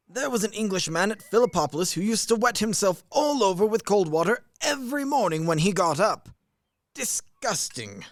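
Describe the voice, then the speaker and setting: Man playing a high, blustering, pompous army major. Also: no echo, studio quality. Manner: blunt, pompous, grumbling. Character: blustering, old-fashioned, middle-aged male army major and husband. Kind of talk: radio play acting.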